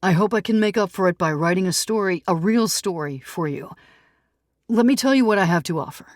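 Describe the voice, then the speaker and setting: A woman's voice: lowish voice, fairly quick, dry recording, studio quality, natural voice. A woman reading an audiobook, voicing a defensive, pleading male skeptic writer who argues earnestly. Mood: apologetic, earnest. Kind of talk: audiobook character dialogue.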